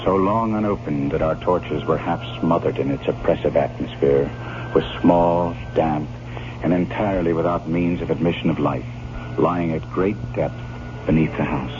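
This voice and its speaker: An actor playing an earnest, troubled gentleman in an old-time radio drama, speaking in an intense, measured way. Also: extremely low pitch. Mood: somber recounting. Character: earnest, troubled gentleman narrator, stubbornly devoted. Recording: dull, noisy vintage radio recording.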